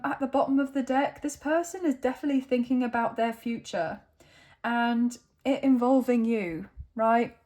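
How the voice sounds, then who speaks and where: A woman's voice, a little high and noticeably croaky and worn from overuse. She talks in an easygoing, chatty way, often tagging her sentences with a quick check-in. Recorded on a phone. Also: darkish tone. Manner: chatty, often adds tag questions like okay and right. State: croaky, tired voice. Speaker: tarot reader. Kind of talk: casual tarot reading to camera.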